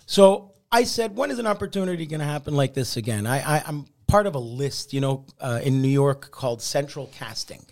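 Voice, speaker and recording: A middle-aged man, a comedian whose first language is Italian, telling anecdotes on a podcast with lively restarts. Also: mid-range voice, brisk, gravelly, few pauses. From Canada. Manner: animated anecdotes with laughs and restarts. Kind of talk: casual storytelling interview.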